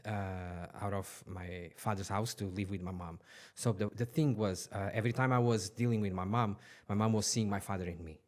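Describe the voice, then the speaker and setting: Low-voiced man in his forties from Portugal, podcast guest, earnest, halting, restarts often. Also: a little mellow. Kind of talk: personal life story.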